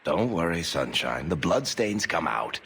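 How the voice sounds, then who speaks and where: Sardonic dwarf rogue in a game, lowish voice that swings around a lot, echoey. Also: male voice, sing-song, unhurried, vocal fry, a bit bright, slight background noise, exaggerated voice. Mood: dry, sardonic. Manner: sardonic, wisecracking. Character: wry, roguish male dwarf adventurer and storyteller. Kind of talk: conversational video game acting.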